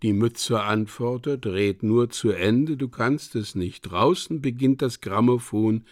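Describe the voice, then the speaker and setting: A man narrating a literary radio play from a script in a measured, precisely enunciated way. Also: mid-range voice, dry recording, clean studio sound. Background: music.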